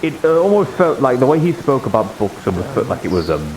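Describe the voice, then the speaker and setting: A man playing an earnest, bookish holy knight in online roleplay, speaking haltingly and easily flustered. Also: mid-range voice, big pitch swings, background noise, natural voice. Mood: uneasy, confiding. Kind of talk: improvised online roleplay chat.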